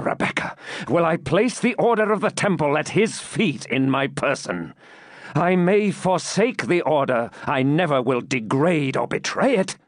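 A man's voice: fairly high pitch, dry recording, studio quality, heavily acted. A man voicing a proud, fierce male knight templar who speaks in an impassioned, commanding way in a storybook reading.

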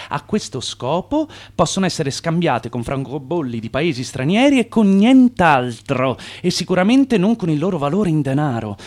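Swooping, commanding swindler. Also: male voice, fairly high pitch, a little mellow. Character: driven, wily immigrant swindler, adult man. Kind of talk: radio play acting and narration.

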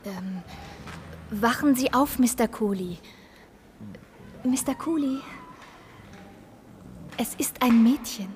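A woman playing a calm, businesslike maternity nurse in a radio drama, hushed and matter-of-fact. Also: a little high, slow, pauses often, slight background noise. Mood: gentle, businesslike.

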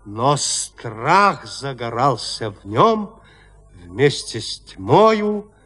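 A man narrating a classic horror tale in an audio play, with theatrical, expressive delivery. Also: mid-range voice, drawn-out words, slightly rough.

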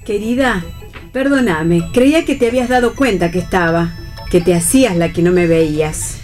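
A woman's voice: on the low side, moderate pace, darkish tone, faint music behind, natural voice. An actress playing a fussy, anxious middle-aged mother in a radio play, with an Argentine accent and a hesitant, solicitous manner. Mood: anxious, tentative. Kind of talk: radio theatre play reading.

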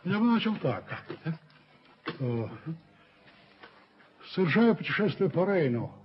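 An actor playing a dignified older businessman in a radio play, formal, measured, courteous but firm. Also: high-pitched, big pitch swings, full character voice. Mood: businesslike, firm. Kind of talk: Soviet radio play acting. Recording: dull, hissy 1970s radio recording.

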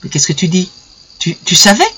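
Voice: mid-range voice, big pitch swings, moderate pace, bright, forceful tone, slight background noise. A narrator voicing a jealous, enraged husband in a story, hectoring and stammering as he accuses and repeats himself. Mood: stammering, outraged. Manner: hectoring, stammering, insistent repetition.

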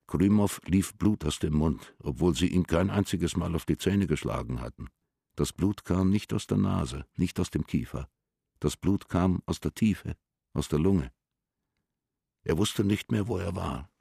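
A grave storyteller narrates in a very deep voice full of gravel. The sound is dry and close, and the delivery stays sober and detached, as in a literary radio drama. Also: male voice, dark tone, no room sound, heavily acted. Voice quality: gravelly, husky.

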